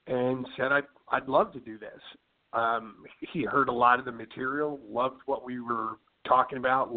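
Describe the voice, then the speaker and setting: A rock singer with a Philly accent talks loosely, piling up fillers and restarts. His voice sits at a middling pitch. The sound comes through a thin, tinny phone line. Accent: Philadelphia. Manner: rambling, many fillers and restarts, says "you know what I mean". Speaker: rock band singer, interview guest. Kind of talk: casual interview chat.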